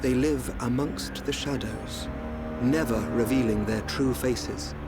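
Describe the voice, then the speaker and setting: An actor playing a calm, enigmatic young royal seer in a fantasy game drama, speaking formally and with measured composure. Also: male voice, mid-range voice, over loud music, natural voice. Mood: calm gravity.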